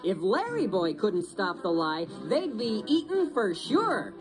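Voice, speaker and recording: A woman's voice: medium pitch, huge pitch swings, unhurried, music in the background, low-quality recording. A woman reading a children's storybook as a dramatic narrator.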